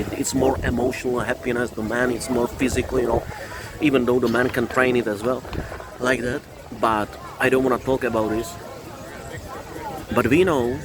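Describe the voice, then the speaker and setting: A man from the Republic, giving a halting, opinionated vlog monologue, often restarting and repeating phrases. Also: medium pitch. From Czech Republic. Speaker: vlogger.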